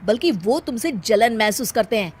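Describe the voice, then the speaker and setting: A woman plays a young soldier husband in a cartoon tale, protective and indignant. The voice is high and emphatic. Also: lively intonation, moderate pace, very noisy, close to an everyday voice.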